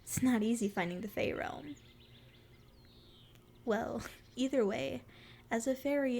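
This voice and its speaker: Casting: female performer as a sweet, playful forest fairy for ASMR roleplay, very high voice with a creaky edge, teasing and curious. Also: a little mellow. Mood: playful, welcoming. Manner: sweet, flirty, teasing endearments. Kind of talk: ASMR roleplay, addressing the listener.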